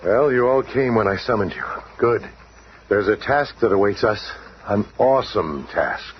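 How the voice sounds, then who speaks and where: Commanding scientist, lively, noisy radio recording. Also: male voice, fairly low pitch, measured pace, highs cut off. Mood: commanding, urgent. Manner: declarative, dramatic. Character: earnest, commanding male scientist team leader. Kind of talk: radio drama acting.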